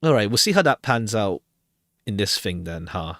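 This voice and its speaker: A man's voice: fairly low pitch, sing-song, normal speed, fairly bright tone, no echo, studio quality. Man reading aloud with casual commentary. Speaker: streamer playing a visual novel.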